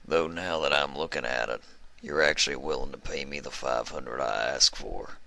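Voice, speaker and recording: A man voicing a grizzled old outlaw stallion in a story reading, wry and gruff, with a gravelly, breathy rasp and a slow, folksy Old West drawl. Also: rumbling low, sharp tone, voice pushed down, heavily acted. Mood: skeptical.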